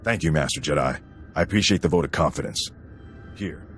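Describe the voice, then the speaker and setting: A gruff, no-nonsense sci-fi sergeant with an extremely deep voice. His delivery is clipped and commanding, in video game style, with faint music behind. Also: a little fast. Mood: brisk, matter-of-fact. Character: stern, gruff, no-nonsense male army sergeant. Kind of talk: dramatic video game acting.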